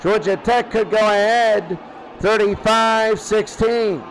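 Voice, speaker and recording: A man with a high voice gives live play-by-play of a football game in short, clipped calls, often repeating himself. The radio broadcast recording has clear noise running under his voice. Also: steady tone, slow, a crowd in the background. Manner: repeats phrases, clipped present-tense calls. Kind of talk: live radio sports commentary.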